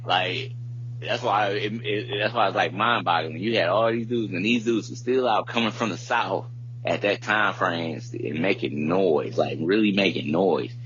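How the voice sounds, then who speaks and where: A man in his thirties with a deep, low voice talks casually and informally, rambling in slangy phrases like a podcast co-host. The recording is dull and lo-fi, with a faint hum behind him. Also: moderate pace, a bit bright. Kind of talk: casual chat. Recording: muffled lo-fi audio with background hum.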